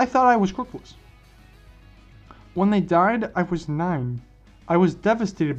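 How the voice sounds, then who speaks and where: An earnest teenage boy hero , voiced by a man, speaks in a fairly high, sincere voice, consoling and halting as in a fan-made story. Music plays behind the slightly muffled recording. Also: a little mellow. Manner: sincere, halting speech-making. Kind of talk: fan-fiction story dialogue. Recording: dull lo-fi mic, music behind.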